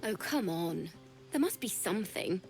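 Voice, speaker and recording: A woman's voice: mid-range voice, lively intonation, normal speed, vocal fry, darkish tone. Earnest young woman bard, music behind. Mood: inspired, admiring. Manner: earnest, conversational. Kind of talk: naturalistic fantasy game acting.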